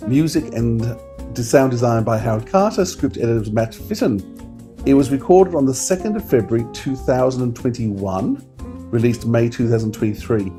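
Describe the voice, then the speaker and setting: A man's voice: medium pitch, lively intonation, moderate pace, warm tone. A man co-hosting a podcast in an informal, enthusiastic way, chatting and reading out notes. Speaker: podcast co-host. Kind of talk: casual podcast chat, reading credits. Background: acoustic guitar music.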